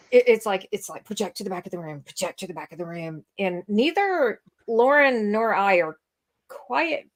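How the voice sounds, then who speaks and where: A woman co-hosting a casual chat, asking a guest questions in a rambling way. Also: medium pitch. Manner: rambling, lots of fillers and restarts. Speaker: podcast co-host.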